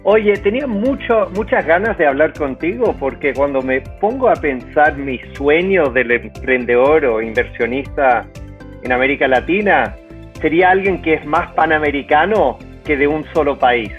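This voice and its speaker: A man's voice: fairly high pitch, animated, moderate pace, faint music behind. A man hosting a podcast, asking questions in a conversational interview style. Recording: narrow, phone-like line.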